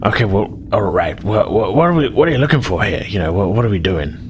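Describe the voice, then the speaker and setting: A man's voice: fairly low pitch, big pitch swings, fast talker. A man voicing a nervous, awkward starship engineer in a tabletop game, stammering and hesitant. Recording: clear background noise.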